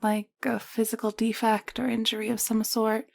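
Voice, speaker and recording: A woman playing a caring, level-headed alien medic in an intimate audio roleplay, speaking gently and explaining things. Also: on the high side, flat delivery, normal speed, gravelly, no room sound. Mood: thoughtful, explaining. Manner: gentle, explanatory, hushed at times.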